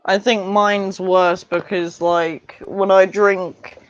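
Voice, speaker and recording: A woman's voice: mid-range voice, flat delivery, slow, dry recording. A woman chatting casually as a guest on a livestream, talking in a blunt, matter-of-fact way. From Portland, Oregon, United States. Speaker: interview guest. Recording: dull, lo-fi streamed audio.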